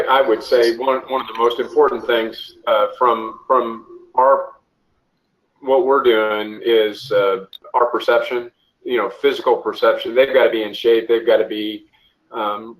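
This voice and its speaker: A man giving an interview answer as a coordinator on a conference call, speaking haltingly with frequent restarts. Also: mid-range voice, flat delivery, poor audio. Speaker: officiating coordinator, interview guest.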